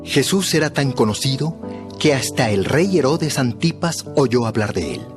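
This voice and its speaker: A man's voice: mid-range voice, sing-song, normal speed, music in the background. A man narrating a dramatized Bible story from a script.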